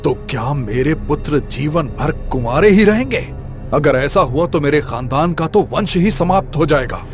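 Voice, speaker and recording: A man's voice: a little high, animated, normal speed, natural voice. Man acting a brooding, proud king, music behind. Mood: anxious. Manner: dramatic, regal, brooding. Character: proud, anxious, middle-aged Indian king with a quick temper. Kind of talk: animated moral tale voice acting.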